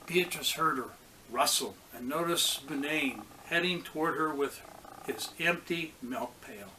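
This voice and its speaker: A man's voice: on the high side, unhurried, sharp tone, a little hiss or hum, low-quality recording. A man reading a storybook aloud to children as a pastor, expressively acting out the characters' lines.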